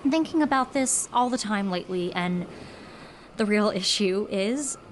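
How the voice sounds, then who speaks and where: Young woman played by a female actor, sincere yet dryly witty, gentle delivery, mid-range voice, faint noise behind. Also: mid-range voice, animated, unhurried, natural voice. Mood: tender, regretful. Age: young adult. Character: earnest, dry-witted young woman, marine science student. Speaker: actor in an audio drama. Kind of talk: naturalistic audio drama dialogue.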